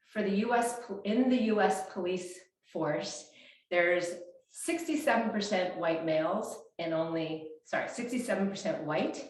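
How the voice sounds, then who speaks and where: Chatty woman in her fifties, echoey room. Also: fairly low pitch. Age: 50s. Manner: chatty, frequent restarts and fillers. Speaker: fitness coach and interview host. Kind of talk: casual interview. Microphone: small clip-on mic shared between speakers.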